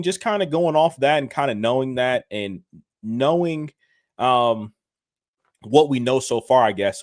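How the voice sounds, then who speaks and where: A man with a mid-pitched voice walking through sports news on a podcast. The sound is dry and close, and he argues his points in a steady, measured way. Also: dry recording. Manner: measured, explaining, some restarts. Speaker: podcast co-host. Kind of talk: casual sports chat.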